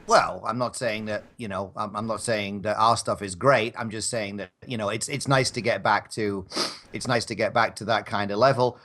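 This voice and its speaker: A man's voice: lowish voice, steady tone, normal speed, fairly bright tone. A man chatting casually as a guest on a live show, rambling and modest about his own work. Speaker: guest, audio drama maker.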